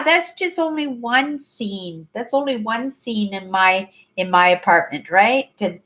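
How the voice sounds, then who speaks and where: A woman's voice: medium pitch, fairly bright tone. A woman in her fifties chatting casually on a livestream from her apartment, rambling, repeating herself and ending sentences with "right?". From Canada. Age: 50s. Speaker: livestream host. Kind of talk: casual live chat to viewers.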